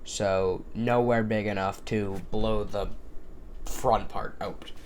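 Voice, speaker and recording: A man's voice: lowish voice, fairly even intonation, slow pace, a little mellow, a little hiss or hum. A young man hosting a casual solo podcast, sounding stuffy from a cold, rambling with many fillers and restarts into a desk microphone. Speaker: podcast host.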